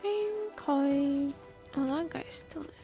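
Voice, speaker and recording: A woman's voice: sits high, slow pace, warm tone, faint music behind. A woman game streamer giving casual, playful, sweary commentary on a playthrough.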